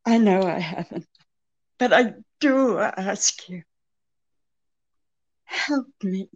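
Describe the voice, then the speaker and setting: Woman acting a very slow-speaking, pleading femme fatale. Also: mid-range voice, highs cut off. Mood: desperate pleading. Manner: halting, breathless pleading with a throb. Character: pleading, secretive femme fatale client, outwardly helpless. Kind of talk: old-time radio detective drama re-creation.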